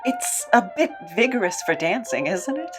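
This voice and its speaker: A woman playing a wary, wounded captive in an audio drama, halting, guarded and weary. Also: on the high side, sing-song. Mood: hesitant, puzzled. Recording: muffled audio, faint music behind.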